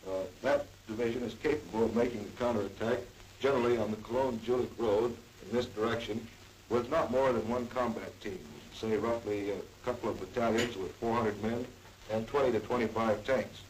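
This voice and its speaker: American army officer, distant 1940s recording. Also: male voice, medium pitch, fairly even intonation, fairly quick, darkish tone. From United States. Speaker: army intelligence officer briefing a superior. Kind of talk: military staff briefing. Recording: 1940s newsreel, distant mic, faint hiss.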